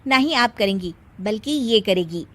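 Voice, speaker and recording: A woman's voice: medium pitch, lively intonation, normal speed, a bit bright, lightly acted. A woman voicing a young working daughter-in-law in a cartoon story, with an emphatic, easily irritated manner. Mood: caring.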